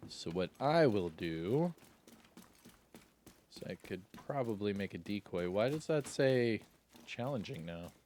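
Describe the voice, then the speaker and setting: A man giving casual, joking gameplay commentary with a slightly raspy voice, relaxed but breaking into excited outbursts. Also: sits low, a little hiss or hum.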